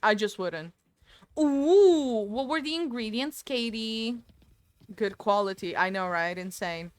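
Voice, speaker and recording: Woman streamer, rambling chat with viewers, crisp voice, fairly high. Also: lively intonation, slow pace, no room sound. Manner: chatty, rambling, answers chat aloud. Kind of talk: casual gaming stream chat. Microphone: desk microphone.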